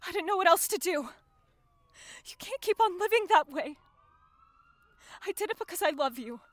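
An actress playing an earnest, loving but firm young adult daughter in an audio drama. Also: female voice, extremely high pitch, animated, normal speed, no echo. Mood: worried.

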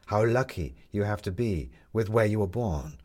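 Man acting a bitter, impassioned con artist, low-voiced. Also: lively intonation, moderate pace, darkish tone. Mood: scornful. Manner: impassioned, emphatic, rhetorical. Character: bitter theatrical male con artist, proud, talkative, wounded.

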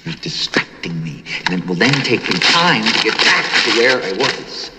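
An actor playing an irritated, condescending man who speaks in a stern, deliberate way. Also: lowish voice, very animated. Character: irritated, controlling man demanding no interruptions. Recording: very noisy, long echoing reverb.

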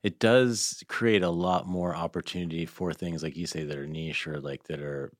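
A man's voice: deep voice, steady tone, moderate pace, no room sound, clean studio sound. A man hosting a podcast, interviewing casually with informal, loosely phrased questions. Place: podcast studio.

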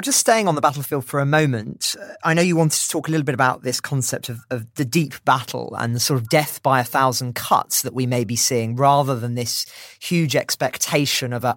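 A man's voice: medium pitch, fairly bright tone, no room sound. A man hosting a news podcast in a conversational style, speaking close to the microphone. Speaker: podcast host.

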